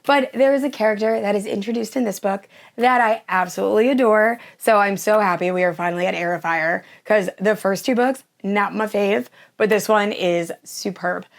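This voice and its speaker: A young woman hosting a casual book vlog, her voice hoarse and nearly gone after the flu, gushing about the books she plans to read. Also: medium pitch, normal speed, few pauses. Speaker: book channel host.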